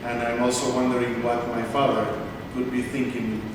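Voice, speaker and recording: Cantor in an echoey hall. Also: male voice, mid-range voice, flat delivery. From Argentina. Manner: heartfelt, grateful, slightly halting. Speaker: cantor accepting an award. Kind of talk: acceptance speech. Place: large synagogue hall. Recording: echoey hall from far off, noisy.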